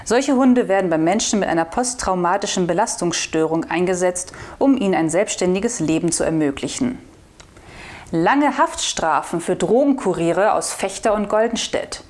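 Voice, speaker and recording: A woman's voice: fairly low pitch, moderate pace. Chatty young woman hosting local news. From northwestern Germany. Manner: chatty and relaxed, reading short news items. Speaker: video host of a regional newspaper. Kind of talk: casual weekly news roundup. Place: studio in front of a blue backdrop. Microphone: lapel mic.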